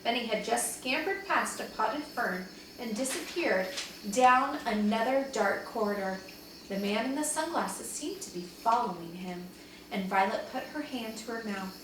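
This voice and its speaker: Female storybook reader, higher-pitched and very expressive, teacherly delivery, recorded at a distance in a reverberant room. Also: measured pace. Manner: animated, dramatizes dialogue for young listeners. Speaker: teacher reading aloud to second graders. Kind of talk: expressive children's storybook read-aloud. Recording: very echoey room, distant mic, faint noise.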